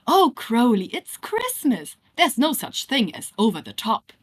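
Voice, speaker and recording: A woman's voice: on the high side, very animated, a little slow, breathy edge, exaggerated voice. A woman voicing a fussy, affectionate male angel in a story, earnest and emphatic. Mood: delighted.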